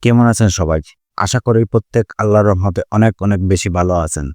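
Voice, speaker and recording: A man's voice: lowish voice, quick pace, dark tone, dry recording, studio quality. A man with a Bangladeshi accent narrating a drama series update as a channel host, repetitively addressing viewers as friends. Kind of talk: scripted YouTube review narration.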